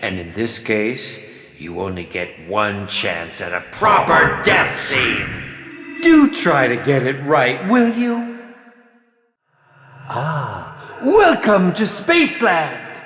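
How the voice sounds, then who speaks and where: Pompous evil horror director for a game, super theatrical and swoopy, slow dragged-out words, big echo. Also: male voice, mid-range voice, very animated, sharp tone, soft background music, heavily acted. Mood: smug, theatrical. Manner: flamboyant, condescending, drawn-out theatrical delivery. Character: pompous, campy, sadistic male horror film director. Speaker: game announcer. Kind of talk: theatrical video game villain voice lines.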